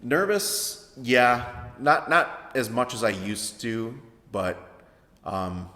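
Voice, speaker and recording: A man in his thirties with a baritone voice, answering interview questions in a hesitant way with many fillers. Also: lively intonation, takes it slowly, bright, forceful tone. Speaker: interview guest, veteran songwriter. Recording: echoey room with long reverb.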